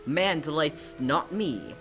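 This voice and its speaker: A woman voicing a melancholy young prince who declaims grandly, with weighty pauses and wry exasperation. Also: low voice, expressive, a bit bright, soft background music, heavily acted.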